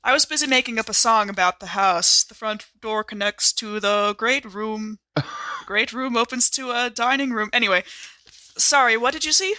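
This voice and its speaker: A woman's voice: on the high side, normal speed, sharp tone, no room sound. A woman improvising casually in character as a tabletop game player, with a wry, flippant manner.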